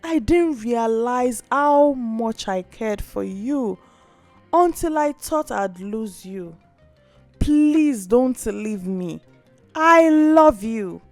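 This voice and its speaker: A woman's voice: a little high, lively intonation, extremely slow, no room sound, soft background music, heavily acted. A woman voicing a tender, anxious young heroine in a folktale, earnest and emotional. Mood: tearful, tender.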